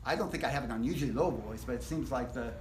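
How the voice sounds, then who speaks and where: A man teaching a casual live guitar workshop, explaining things step by step in a relaxed, rambling way. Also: medium pitch, fast talker, slight background noise. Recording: echoey room.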